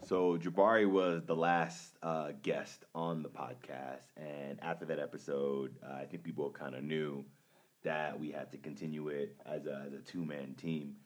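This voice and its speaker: A man's voice: a bass voice, steady tone, moderate pace. A man hosting a podcast in a laid-back way, with many filler words. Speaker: podcast host. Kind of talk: casual podcast talk.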